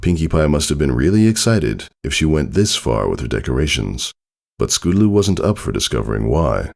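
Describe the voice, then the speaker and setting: Very deep, gravelly male narrator. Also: very animated, fairly quick. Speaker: narrator, fan fiction reader. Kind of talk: scripted storybook narration.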